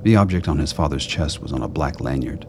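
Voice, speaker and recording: A man's voice: very deep voice, lively intonation, quick pace, background noise. A man narrating a radio play as a scripted story in the third person.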